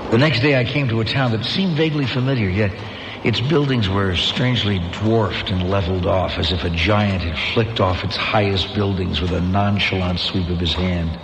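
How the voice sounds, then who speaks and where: A haunted wanderer speaks slow and low, narrating a wasteland and stumbling over words when questioned. The radio play recording carries steady hiss beneath the voice. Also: male voice, vocal fry, reverberant, heavily acted. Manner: hesitant, halting in dialogue; measured in narration. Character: weary male wanderer, last survivor, haunted and searching. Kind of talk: scripted radio drama narration.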